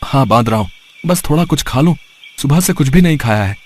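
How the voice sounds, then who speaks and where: A man's voice: mid-range voice, expressive, fairly quick, close to an everyday voice. Man playing a worried, humble poor father. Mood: reassuring. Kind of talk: Hindi cartoon story character voice.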